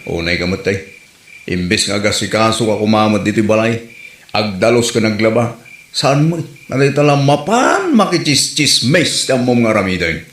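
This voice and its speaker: A man's voice: fairly low pitch, lively intonation, normal speed, fairly bright tone. A man playing a grumpy, scolding village husband with an Ilocano Filipino accent in a comedy audio drama. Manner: grumbling, nagging. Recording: roomy echo with faint noise.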